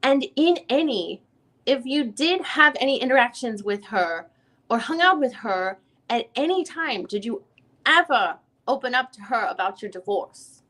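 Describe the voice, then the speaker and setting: A woman's voice: on the high side, expressive, measured pace, a bit bright, low-quality recording. A woman reading the part of a lawyer questioning a witness at a deposition in a formal, methodical way. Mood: formal, probing. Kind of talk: dramatic reading of a legal transcript.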